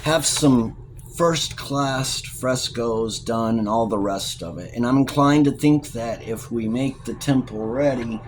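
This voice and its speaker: A man doing a restless gentleman full of grand schemes, brisk and enthusiastic, with upper-class turns of phrase in a storybook reading. The delivery stays deliberate and unhurried overall. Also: mid-range voice, slight background noise, close to an everyday voice, rough recording. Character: eager, restless young gentleman with big plans.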